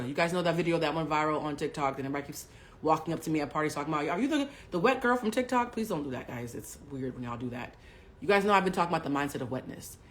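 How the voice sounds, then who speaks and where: A woman in her forties hosting a livestream, telling a personal story bluntly and with plenty of profanity, swinging between hushed asides and loud bursts. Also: low-pitched, slight background noise. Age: 40s. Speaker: livestream host. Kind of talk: candid storytelling to a live audience.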